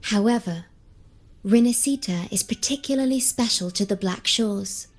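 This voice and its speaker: A woman voicing a composed young female advisor in a video game, with a soft, husky edge to her mid-pitched voice. She explains things formally and in measured phrases, over clearly audible music. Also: natural voice. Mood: calm, informative. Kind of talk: scripted game dialogue.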